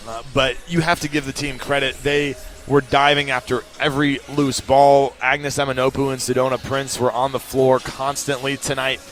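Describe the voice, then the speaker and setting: Measured male sports commentator. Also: medium pitch, level tone, a bit bright, soft background music. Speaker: play-by-play commentator. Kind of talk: sports analysis talk.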